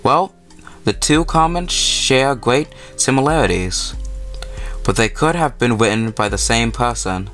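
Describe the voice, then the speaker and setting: A man's voice: mid-range voice, animated, unhurried, fairly bright tone, soft background music. A man hosting a gaming video, reading a visual novel aloud and adding casual, informal asides.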